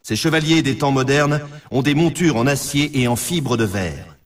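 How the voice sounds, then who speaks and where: A man's voice: medium pitch, moderate pace, recorded from a distance, close to an everyday voice. A man playing a grandiose, emphatic announcer for an extreme snowboarding championship in a video game. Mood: grandiose, solemn. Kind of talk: scripted dramatic sports game announcing.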